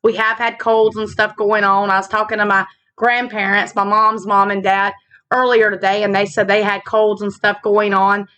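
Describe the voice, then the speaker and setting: A woman with a slightly raspy voice, hosting a casual faith vlog, talking earnestly with fillers and slow reflective stretches into a laptop mic. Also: medium pitch, level tone, a bit bright. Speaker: channel host. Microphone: laptop built-in mic.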